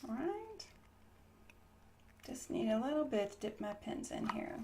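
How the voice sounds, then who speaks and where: A woman's voice: fairly high pitch, very animated, unhurried, a little mellow, slight background noise. A woman doing an ASMR medical roleplay as a nurse, explaining each step in a casual, reassuring bedside way. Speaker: ASMR creator playing a trauma nurse.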